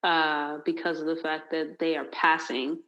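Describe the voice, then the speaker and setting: A woman on a casual group podcast who probes the others with pointed questions. Her voice is gravelly and a little low. The recording is dull and lo-fi. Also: little pitch movement, measured pace, fairly bright tone. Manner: probing, asks pointed questions. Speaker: podcast co-host.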